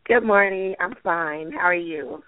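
A woman's voice: fairly low pitch, measured pace, no echo. A woman from Texas, a business owner, answering interview questions hesitantly with fillers, a little stuffy from allergies. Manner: hesitant, soft-spoken with fillers and light laughs. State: mild allergies. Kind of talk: phone interview. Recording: tinny dry phone line.

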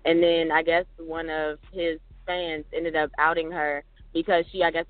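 A man's voice: fairly high pitch, no echo. A man co-hosting a casual podcast, chatting in a rambling way with lots of fillers. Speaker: podcast co-host. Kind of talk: casual chat. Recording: tinny phone-like sound, dry.

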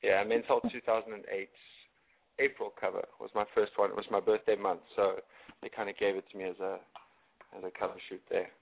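A man's voice: on the low side, level tone, brisk, vocal fry. A man in his late twenties with a South African accent, answering casually as an interview guest. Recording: thin phone-line sound.

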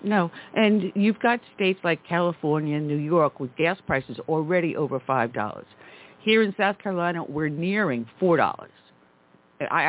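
A woman hosting a talk radio show, speaking emphatically and with indignation. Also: low-pitched. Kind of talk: conservative talk radio chat. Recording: tinny phone line.

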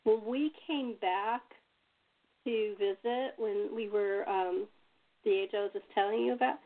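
A woman's voice: mid-range voice, measured pace. An American woman from Los Angeles hosting a casual chat in a rambling, repetitive way over tinny phone-like audio. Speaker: host and playwright.

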